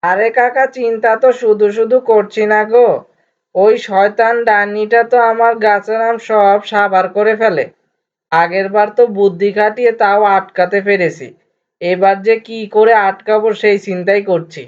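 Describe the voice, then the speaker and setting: A woman playing a worried, grumbling older male villager in an animated cartoon, complaining anxiously. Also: medium pitch, moderate pace, lightly acted. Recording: dull lo-fi cartoon soundtrack. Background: faint music.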